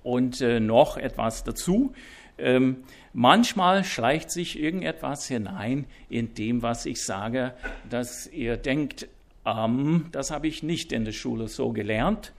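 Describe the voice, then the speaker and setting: Guest preacher, male, English-speaking accent, slow and gravelly, stumbling through fillers with a self-deprecating wit. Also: medium pitch, lively intonation. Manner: halting, many fillers and restarts. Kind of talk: sermon introduction. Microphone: wireless mic.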